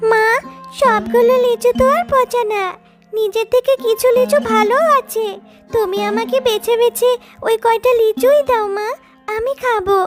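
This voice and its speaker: A woman's voice: very high voice, steady tone, moderate pace, over loud music. A woman voicing a sweet, childlike little girl cub in a children's cartoon story, coaxing and eager.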